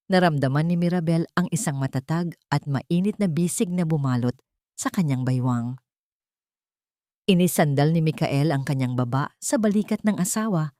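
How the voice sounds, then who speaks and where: A woman's voice: low-pitched, warm tone, no room sound, clean studio sound. A woman narrating a scripted love story in a solemn, sentimental, dramatic storytelling manner.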